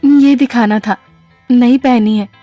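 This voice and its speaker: An actress plays a timid, placating young woman in a household audio drama. Her voice is high, and she speaks hesitantly and deferentially. Faint music runs underneath. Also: fairly even intonation, measured pace, dark tone, close to an everyday voice. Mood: coy, hesitant. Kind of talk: Hindi audio drama acting.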